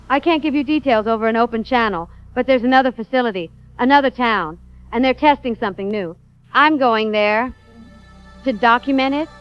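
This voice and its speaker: A woman's voice: fairly high pitch, natural voice. Casting: actress playing resolute woman on the run, radio-drama field message, urgent clipped phrases, dull transmission sound over music. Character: determined fugitive woman investigator leaving a last message.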